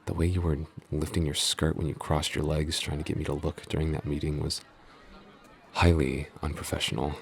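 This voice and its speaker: A man playing a shy, easily flustered tsundere boyfriend and office coworker in an intimate audio roleplay, with a halting, stammering delivery. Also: a bass voice, little pitch movement, gravelly. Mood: flustered, scolding.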